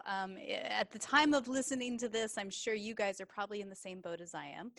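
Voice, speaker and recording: A woman's voice: medium pitch, fairly bright tone, no room sound. This is a woman in her forties with a Texan accent, talking in a chatty, upbeat way and full of folksy phrases. She is a business coach, speaking as a guest on an interview. Her voice sounds close and dry, with no room sound around it. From Texas. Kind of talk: casual interview chat.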